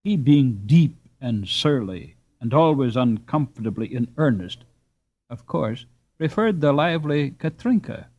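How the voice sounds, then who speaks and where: A man reading a classic children's novel aloud in an expressive, lilting storybook manner. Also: a little high, gravelly. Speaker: audiobook narrator. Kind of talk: scripted storybook narration. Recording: dull lo-fi recording.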